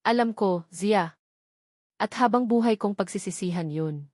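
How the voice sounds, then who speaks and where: A woman's voice: mid-range voice, unhurried, a little mellow, dry recording, studio quality, natural voice. A woman with a Filipino accent voicing a remorseful, devoted husband in a scripted audio drama, in an earnest, pleading manner.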